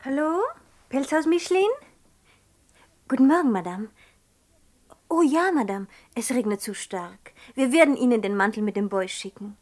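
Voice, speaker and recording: A woman's voice: fairly high pitch, lively intonation, moderate pace, long pauses, a little mellow, lightly acted. An actress playing a pert, world-weary saleswoman in a 1960s radio play, blunt and chatty under her shop manners. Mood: polite. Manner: polite to customers, blunt and chatty otherwise.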